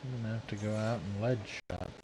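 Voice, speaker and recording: A man's voice: fairly low pitch, brisk, noisy background. Laconic man chatting while gaming. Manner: short, teasing replies. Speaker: streamer. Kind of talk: casual chat while gaming.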